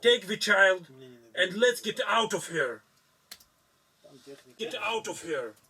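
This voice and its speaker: A man voicing a bossy, blustering cartoon man with a harsh, raspy voice. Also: high voice, animated, slow pace, pushed and bright, heavily acted. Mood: bossy, urgent. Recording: voice far from mic.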